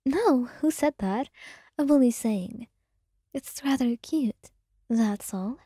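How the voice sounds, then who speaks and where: Shy affectionate young woman in hushed tones, voiced by a woman; husky, dry and close. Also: fairly high pitch, expressive, takes it slowly, dry recording, exaggerated voice. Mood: teasing. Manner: soft whispered, hesitant.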